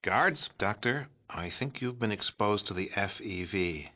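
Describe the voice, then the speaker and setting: A smug male politician for a video game. His voice is bright and forceful, swinging widely in pitch. He talks like a folksy, pompous president, patronizing everyone he addresses. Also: animated, measured pace. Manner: glad-handing, pompous, patronizing. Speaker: president character. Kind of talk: theatrical video game character acting.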